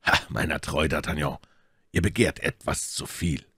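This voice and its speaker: This is a man reading as a composed musketeer who takes command in an audiobook adventure. His voice is very low, measured and authoritative, with wry calm. The recording is dry and close. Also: slightly rough, dry recording, full character voice. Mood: deliberate, assured. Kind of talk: audiobook character dialogue.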